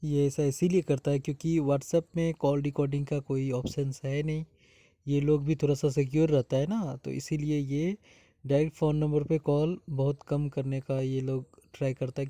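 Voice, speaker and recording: बहुत दबी, गहरे टोन वाली आवाज़ का भारतीय आदमी. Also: male voice, a little high, dark tone, no room sound. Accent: Indian. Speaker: vlogger exposing a scam. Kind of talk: informal explainer addressed to viewers.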